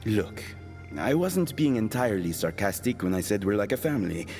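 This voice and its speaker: An actor playing an irritable, world-weary hired killer in an audio drama, dry, sarcastic and menacing. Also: male voice, fairly low pitch, big pitch swings, moderate pace, vocal fry, a little mellow, in a large hall, soft background music. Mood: grave, guarded.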